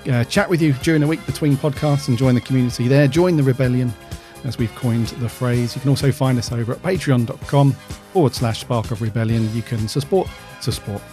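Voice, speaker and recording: Casting: male co-host, mellow mid voice, friendly and easygoing, music bed underneath. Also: medium pitch, brisk, few pauses. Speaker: podcast co-host. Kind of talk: casual chat.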